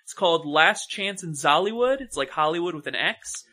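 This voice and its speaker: A man talks casually as a podcast co-host, offering opinions and recommendations. His voice is high for a man and has a bright, crisp edge to it. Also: animated, moderate pace. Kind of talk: casual chat, giving reviews and recommendations.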